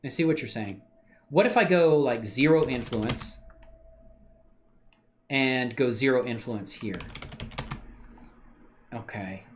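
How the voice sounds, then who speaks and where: A man's voice: medium pitch, slow pace, pauses often, slight background noise. A man livestreaming his 3D modeling work, thinking aloud in a casual, slangy way and answering questions from chat. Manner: relaxed slangy talk with many fillers.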